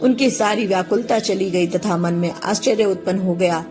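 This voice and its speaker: Female narrator, scripted scripture storytelling, mid-pitched voice, soft music bed. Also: normal speed.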